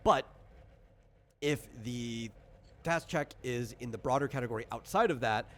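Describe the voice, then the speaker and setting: A man's voice: medium pitch, unhurried. A man casually explaining tabletop game rules as the game master, rambling and interrupting himself as he goes. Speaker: game designer running character creation. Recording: clear background noise.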